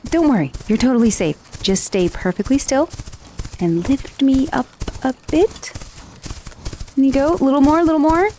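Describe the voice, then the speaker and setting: A woman's voice: high-pitched, moderate pace, warm tone, a little hiss or hum, lightly acted. A woman playing a friendly, chatty dullahan, a magical severed head, in an ASMR roleplay with casual, hesitant asides. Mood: excited, exhilarated. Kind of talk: ASMR roleplay acting, addressing the listener.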